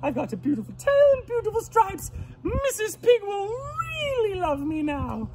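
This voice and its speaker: A woman voicing an excitable, vain but sweet male pig in a gushing children's storytelling style. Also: moderate pace, full character voice. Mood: delighted. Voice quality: high-pitched, squeaky. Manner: gushing, exclamatory.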